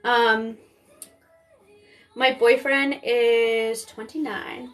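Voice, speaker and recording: A young woman from Maine talks through a live stream in a loose, rambling way, peppered with fillers. Her voice sits a little high. She sounds like she is chatting from home into a phone. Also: steady tone, extremely slow, faint music behind. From Maine, United States. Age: 20s. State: pregnant. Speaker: makeup artist streaming live. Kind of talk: casual live-stream chat.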